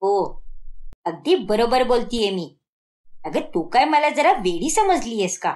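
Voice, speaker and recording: A woman's voice: on the high side, very animated, measured pace, a little mellow, voice pushed down. A woman voicing a strict, exasperated middle-aged mother in a cartoon moral story, with an emphatic scolding manner.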